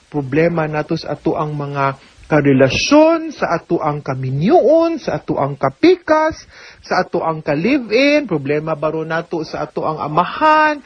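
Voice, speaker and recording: A woman's voice: lowish voice, husky, muffled recording. A woman with a Cebuano Filipino accent hosting a radio advice show as an attorney, chattily answering a listener's letter and mixing in English with frequent tag questions.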